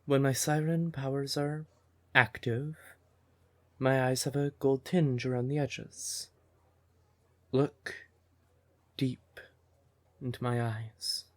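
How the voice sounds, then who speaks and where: A man playing a devoted, emotional siren boyfriend in an intimate fantasy ASMR audio roleplay, with a breathy, tender voice. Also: mid-range voice, steady tone, natural voice. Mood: tender, intense. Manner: tender, unhurried, emotive, with pet names. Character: devoted male siren nightclub singer, affectionate boyfriend, easily exasperated.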